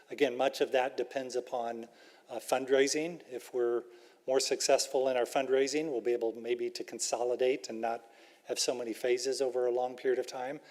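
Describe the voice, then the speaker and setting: School spokesman at a public meeting, cautious and qualifying, mid pitch, hall reverb. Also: a little mellow. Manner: careful, hedging answers. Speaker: school representative. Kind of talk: answering questions at a public workshop.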